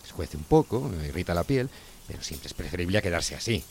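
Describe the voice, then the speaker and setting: A man acts out a fussy, prim father in an audio drama. His voice is low, and he advises and admonishes with earnest care over faint kitchen sizzling. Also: very animated, fairly quick, creaky, darkish tone, a little hiss or hum, exaggerated voice. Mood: fussy, advising. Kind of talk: audio fiction acting.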